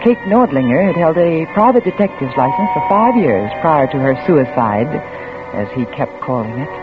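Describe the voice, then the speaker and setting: A woman's voice: low voice, big pitch swings, normal speed. An actress playing an anxious, stubborn young woman investigator in a 1940s radio thriller, with a dramatic delivery that stammers when rattled. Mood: tense narrating. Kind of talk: 1940s radio thriller acting with first-person narration. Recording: tinny old radio recording with music.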